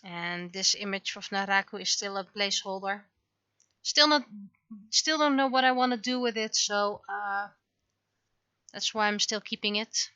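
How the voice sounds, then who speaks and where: Woman chatting on a livestream about her own project, bright forward voice, dry but kinda dull lo-fi audio. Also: medium pitch, normal speed, clean tone, no echo. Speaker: livestreamer, webcomic and visual novel creator. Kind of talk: casual livestream commentary on own work.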